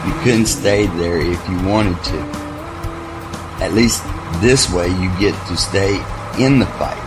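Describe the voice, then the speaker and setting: An actor as a male soldier in an audio drama, firm and loyal. His voice sits fairly low, with music clearly heard underneath. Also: low-quality recording.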